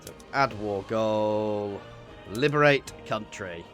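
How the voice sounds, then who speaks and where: A man's voice: on the low side, slow, a bit bright, faint music behind. A man giving casual let's play commentary on a strategy game, thinking aloud with playful exclamations. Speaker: gaming video host.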